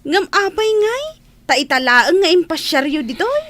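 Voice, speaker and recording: A woman's voice: extremely high pitch, very animated, normal speed, a bit bright, no echo, exaggerated voice. A woman playing a cheerful, very young housemaid in a radio drama. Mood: cheerful, deferential.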